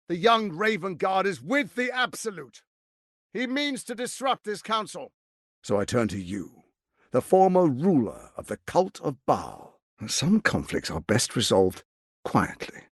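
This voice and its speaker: A male actor playing a suave, scheming, power-hungry lord in a video game, with a theatrical, measured, silky politician's delivery, ruthless and charming. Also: high voice, no room sound, studio quality, full character voice. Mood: grand, proclaiming, then calculating, persuasive. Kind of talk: dramatic video game voice acting.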